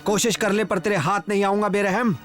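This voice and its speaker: A woman's voice: medium pitch, fairly even intonation, fairly quick, close to an everyday voice. A woman voicing a nervous, stubborn little animal who protests and boasts in a Hindi cartoon. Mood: defiant. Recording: loud music behind the voice.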